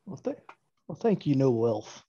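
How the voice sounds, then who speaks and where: A man's voice: medium pitch, very animated, normal speed, dark tone. A man chatting casually as a player in a tabletop roleplaying game, heard through a dull recording. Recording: dull, lo-fi voice with background noise.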